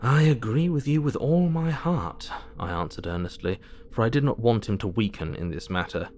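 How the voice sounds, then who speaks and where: A man's voice: on the low side, animated, normal speed, darkish tone, a little hiss or hum. An actor playing an earnest, businesslike Victorian asylum doctor, narrating his diary in an audio drama. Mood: earnest, resolute.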